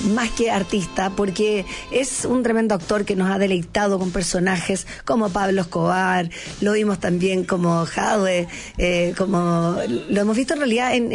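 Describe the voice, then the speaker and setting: A woman's voice: mid-range voice, darkish tone. A Chilean woman hosting a TV talk show, with a fairly neutral Chilean accent, gushing and effusive, often repeating her words. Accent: neutral Chilean Spanish. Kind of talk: enthusiastic TV interview.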